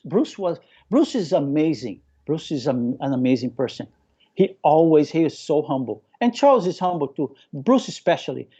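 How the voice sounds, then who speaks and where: A man's voice: sits high, warm tone, husky, dull audio. A man with a Brazilian accent touched by Hawaiian speech, a native Portuguese speaker, chatting enthusiastically as an interview guest and often repeating phrases as he tells stories. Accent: Brazilian with a local Hawaiian touch. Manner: enthusiastic, repeats phrases, acts out remembered remarks. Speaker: guest, hobbyist aquarium keeper. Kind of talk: casual live interview storytelling.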